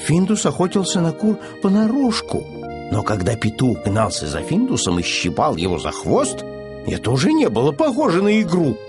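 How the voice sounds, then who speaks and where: A man narrating a children's audiobook in an expressive, scripted storytelling style. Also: on the high side, normal speed, over music.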